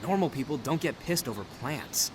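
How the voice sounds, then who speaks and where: An actress playing a blunt, brash young teammate in a video game. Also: female voice, very deep voice, lively intonation, normal speed, a little hiss or hum. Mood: skeptical.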